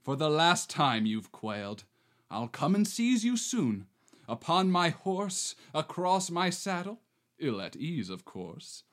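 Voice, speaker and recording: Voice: mid-range voice, very animated, slow pace. A performer playing a swaggering male bandit who poses as a romantic marquis, delivering verse theatrically. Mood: mocking. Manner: bombastic, theatrical verse delivery with wild swings. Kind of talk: amateur reading of a verse play.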